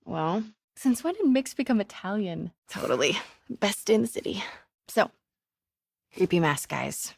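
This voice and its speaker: A young American woman reporter in a video game. She is determined and caring, with a wry, conversational delivery and thoughtful pauses. Her voice sits at a medium pitch in a very dry, close recording. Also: big pitch swings, creaky, breathy edge, no echo, full character voice. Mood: wry, inquisitive. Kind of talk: naturalistic video game voice acting.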